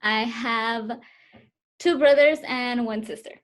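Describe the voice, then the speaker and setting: A woman's voice: a little high, slow. Hesitant young woman on a video call. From Southern California, US. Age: 20s. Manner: hesitant, many fillers. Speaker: interviewee. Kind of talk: casual interview answers.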